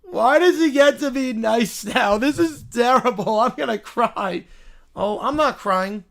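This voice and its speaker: A man's voice: very high-pitched, expressive, fairly bright tone, voice pushed up, full character voice. A man voicing a childish, bossy sports fan who whines petulantly and wants everyone to be miserable. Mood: tearful sulk. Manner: whining, petulant, drawn-out complaints.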